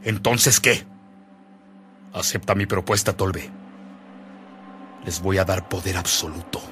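Tyrannical governor: low, hard-edged, commanding and menacing, for radio drama. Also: male voice, takes it slowly, a bit bright, soft background music. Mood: imperious, pressing. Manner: imperious, commanding, threatening. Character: tyrannical state governor bent on persecuting priests.

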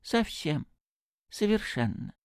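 Excitable, high-pitched little priest. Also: male voice, moderate pace, no room sound, clean studio sound. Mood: delighted, insistent. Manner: exclaiming, flustered, gushing. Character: excitable, apologetic, stubby little male priest with childlike wonder. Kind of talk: audiobook character acting.

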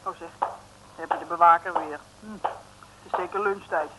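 A woman's voice: on the low side, steady tone, normal speed, lightly acted. An actress playing a long-suffering, wry female detective's sidekick in an old comic radio play. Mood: weary. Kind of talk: 1950s-60s comic radio play acting. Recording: noisy old radio recording.

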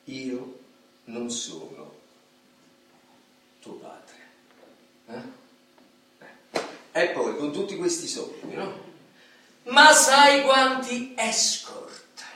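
A man playing an educated professor who narrates in a radio drama, deliberate and literate, with halting phrasing. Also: sits high, very animated, sharp tone, distant mic, full character voice. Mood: exasperated, mocking. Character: educated male professor, narrator among Roman suburban folk. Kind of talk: stage-style radio drama monologue and dialogue. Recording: echoey hall from afar, hissy.

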